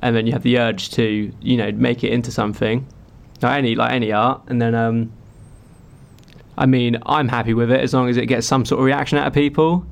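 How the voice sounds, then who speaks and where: A man's voice: mid-range voice, steady tone, fairly quick, vocal fry. A young man, a singer-songwriter, talking casually and rambling through stories with lots of fillers. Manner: rambling, filler-heavy storytelling. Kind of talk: casual chat.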